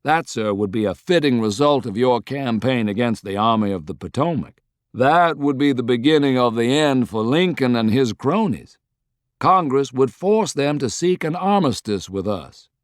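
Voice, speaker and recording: A man voicing a shrewd, persuasive Confederate statesman in an audiobook, speaking in a deliberate, eloquent way. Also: mid-range voice, animated, dry recording, clean studio sound, lightly acted. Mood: emphatic persuasion. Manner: deliberate, articulate, rhetorical.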